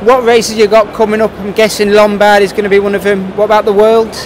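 A man's voice: high-pitched, flat delivery, quick pace. A man asking questions as an interviewer in a casual, chatty style. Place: indoor trade show. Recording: noisy trade show hall, background ambience.

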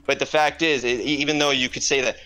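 Male caller, online debate, halting and insistent, lots of restarts, bright sharp voice. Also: medium pitch, steady tone, low-quality recording. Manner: halting, repeats words, insistent.

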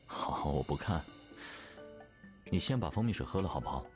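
Casting: male actor as doting boyfriend in audio drama, low-pitched, patient, coaxing, music behind him. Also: animated, normal speed. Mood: tender, soothing. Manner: soothing, coaxing. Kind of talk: Chinese audio drama acting.

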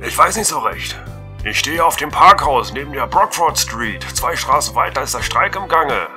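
An actor playing a nervous reporter calling in a strange scene in a radio drama. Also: male voice, medium pitch, animated, moderate pace, bright, forceful tone, faint music behind. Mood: alarmed. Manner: tense, alarmed. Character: nervous male reporter on a rooftop. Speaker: field reporter.

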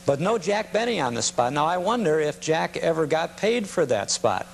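A man's voice: a little high, big pitch swings, moderate pace, vocal fry, a little hiss or hum. A man hosting a nostalgia program with casual, somewhat hesitant commentary.

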